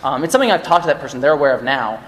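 A man's voice: medium pitch, expressive, rapid-fire, fairly bright tone, a little hiss or hum. A man on a podcast panel, speaking earnestly in a rambling way with many fillers. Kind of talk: casual panel discussion. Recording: echoey live room, long reverb.

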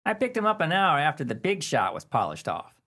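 A woman's voice: deep voice, big pitch swings, fairly quick, fairly bright tone, full character voice. A woman playing a cold-blooded 1930s gangster henchman in a pulp crime radio play. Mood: callous.

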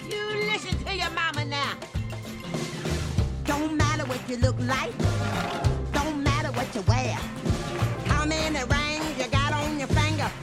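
A woman's voice: sits high, takes it slowly, pauses often. An actress voicing an old swamp priestess woman from Mississippi, singing in a bluesy, drawling Southern accent. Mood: sassy, soulful. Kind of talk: singing film song. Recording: loud film music behind.

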